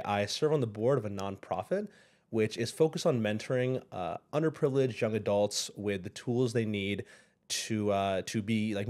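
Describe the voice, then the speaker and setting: A young man as a guest on an interview show, speaking thoughtfully, pausing often and restarting his sentences. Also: on the low side, darkish tone. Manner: thoughtful, hesitant, many fillers and restarts. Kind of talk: casual interview.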